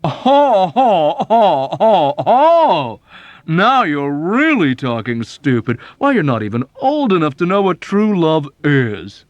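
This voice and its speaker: A man voicing a smug, chubby male army officer who laughs with pompous, booming heartiness. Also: wildly swooping pitch, a little slow, hardly any pauses, no echo, dull audio. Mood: smug jovial.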